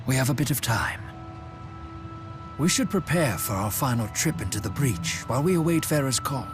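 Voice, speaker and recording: A man's voice: medium pitch, big pitch swings, moderate pace, music in the background, close to an everyday voice. An actor playing an earnest, analytical man in a video game, explaining articulately. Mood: serious.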